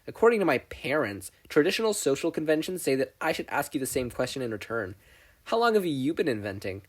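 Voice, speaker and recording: A narrator acts out a teenage girl inventor for an audio fan story. She is brash, blunt and very sure of herself, with emphatic, swooping delivery and a slightly rough, husky edge. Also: mid-range voice, big pitch swings, brisk. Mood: competitive, brisk.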